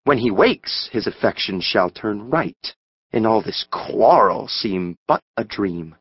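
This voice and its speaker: A man's voice: on the low side, lively intonation, slow, breathy edge, dry recording, lo-fi sound, exaggerated voice. An actor playing an imperious, scheming fairy king in a Shakespeare audiobook, with theatrical, declamatory speech. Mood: commanding. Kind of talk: full-cast Shakespeare audiobook acting.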